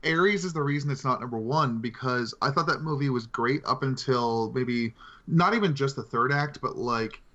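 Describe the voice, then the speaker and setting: A man's voice: mid-range voice, moderate pace, fairly bright tone. A man co-hosting a podcast in casual conversation, recorded on slightly dull, lo-fi audio. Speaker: podcast co-host. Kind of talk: casual movie-discussion chat.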